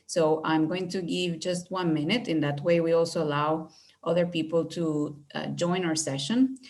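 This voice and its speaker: A middle-aged woman from Venezuela gives an online presentation over a video call, speaking in a fairly low voice. She sounds friendly and measured, with frequent fillers as she talks. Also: gravelly, a little mellow. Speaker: university recruitment director.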